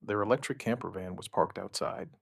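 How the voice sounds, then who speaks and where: An actor playing a shaken outbreak survivor, giving his account in halting, somber, dramatic narration with short deliberate phrases. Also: fairly low pitch, gravelly, slightly rough, no echo, clean studio sound. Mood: uneasy, somber. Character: shaken male outbreak survivor recounting events, dryly stubborn. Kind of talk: dramatic first-person scripted narration, witness account.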